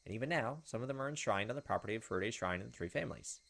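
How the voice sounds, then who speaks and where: A man's voice: medium pitch, expressive, rapid-fire, creaky, fairly bright tone. A man reading visual novel text aloud as a let's player, with casual asides. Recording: game music behind the voice. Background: video game music.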